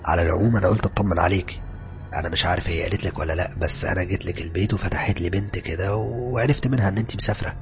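A man's voice: low voice, racing, creaky, soft background music. A man doing an easygoing Egyptian young guy. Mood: friendly. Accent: Egyptian Arabic. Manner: easygoing, teasing. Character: friendly young man, teasing acquaintance.

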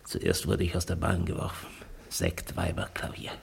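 An actor playing a dying, remorseful gangster in a 1950s German radio play, confessing in halting gasps with a husky, creaky voice. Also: male voice, deep voice, a little hiss or hum. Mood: weak, resigned. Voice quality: husky, creaky, breathy whispering. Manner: halting, gasping, repeating himself.

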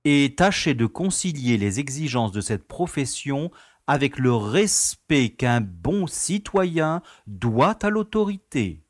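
Man acting genial, slow-talking, animated prison director. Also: medium pitch, dry recording, clean studio sound. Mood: courteous, paternal. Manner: courteous, formal, paternal. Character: genial, easygoing, self-important male prison director. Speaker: actor in an audio drama. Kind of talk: French radio comedy acting.

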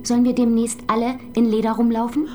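A woman's voice: on the high side, monotone, fast, a little hiss or hum, natural voice. A woman playing a bitter, man-scorning visitor. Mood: scornful. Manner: sharp, insistent, preachy.

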